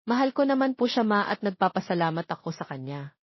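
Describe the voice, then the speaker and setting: A woman's voice: medium pitch, very animated, fast talker, breathy edge, no room sound. A woman voicing a grateful, tender young bride, earnest and affectionate, in an audio story. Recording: dull lo-fi audio, very dry.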